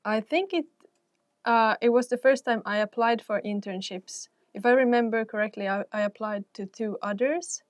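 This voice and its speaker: A woman's voice: on the high side, normal speed, no room sound, clean studio sound. A woman, a career counselor talking casually with many fillers and restarts. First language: Finnish and Swedish. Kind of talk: casual panel talk.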